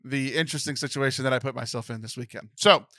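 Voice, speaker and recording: Bright-voiced joking male podcast host. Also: medium pitch, fast talker, no room sound, studio quality. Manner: joking, laughs often. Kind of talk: casual podcast chat.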